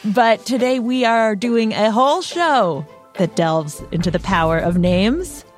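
Female host, animated and expressive pitch, conversational narration over a faint music bed. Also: unhurried.

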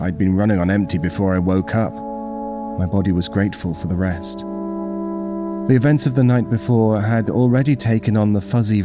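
Male narrator for horror fiction: lowish voice, measured literary delivery, music clearly underneath. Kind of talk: dramatic scripted first-person narration.

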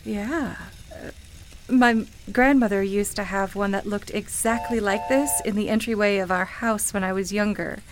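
A woman's voice: mid-range voice, steady tone, moderate pace, background noise, close to an everyday voice. An actress in a radio play playing a sincere, wistful woman who reminisces hesitantly about her life. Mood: hesitant, wondering. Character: wistful woman grieving her beloved grandmother at Christmas. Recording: music playing behind the voice. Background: music.

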